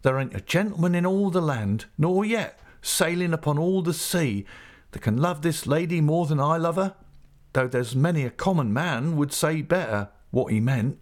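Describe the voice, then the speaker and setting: A man's voice: on the high side, lively intonation, pitched above the performer's own voice, lightly acted. A man doing a faltering shy young fisherman. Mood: tender. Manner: faltering, halting.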